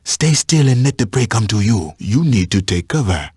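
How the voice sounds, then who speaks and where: A patient safari tracker from a video game, kinda husky low voice, lively pitch, giving hunting tips. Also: male voice, moderate pace, no echo, clean studio sound. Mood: calm, instructive. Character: patient, watchful male African safari tracker guiding a hunter. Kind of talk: scripted video game voice lines.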